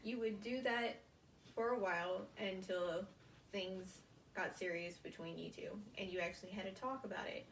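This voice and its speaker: Student presenter: young American woman, halting short phrases, creaky voice, roomy sound with faint noise. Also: fairly low pitch, distant mic. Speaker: college student giving a speech. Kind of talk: prepared informative classroom speech.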